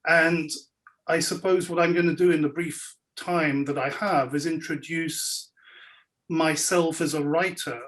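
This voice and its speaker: Man, raised in Belgium, French native speaker, fairly high voice, wry measured talk, video call from home. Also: fairly even intonation, a bit bright, poor audio. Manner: wry, measured, clears his throat. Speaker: guest speaker, scholar and writer. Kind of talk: relaxed lecture-style talk. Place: home, indoors.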